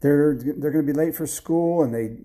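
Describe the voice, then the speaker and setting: A man's voice: fairly high pitch, normal speed, dark tone, pitched above the performer's own voice, lightly acted. A man voicing a busy, insistent wife and mother in a story, matter-of-fact and nagging. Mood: busy, apologetic.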